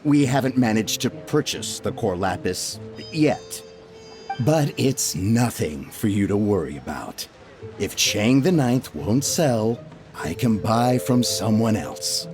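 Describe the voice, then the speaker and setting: A scheming merchant in a video game, speaking slowly in a lowish voice with lively swings of pitch. He is deferential and placating, eager to smooth things over. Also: over music. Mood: nervous, reassuring. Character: obsequious, scheming gentleman merchant, male, adult.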